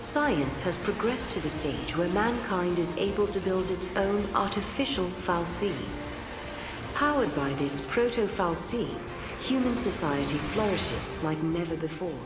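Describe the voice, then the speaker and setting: Echoey, lowish formal woman narrator. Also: animated. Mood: stately. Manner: formal, measured. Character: composed, formal female chronicler of history. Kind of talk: scripted video game narration. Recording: echoey hall reverb under music.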